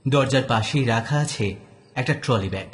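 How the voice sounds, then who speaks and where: A man's voice: medium pitch, normal speed. A man narrating a scripted detective story in a dramatic style.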